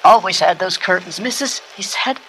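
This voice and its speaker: An actress playing a lounge singer confiding intimately and dramatically in a detective, as a noir radio monologue. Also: female voice, on the low side, lively intonation, normal speed, fairly bright tone, low-quality recording. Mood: uneasy, fearful. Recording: recorded tape message with background noise.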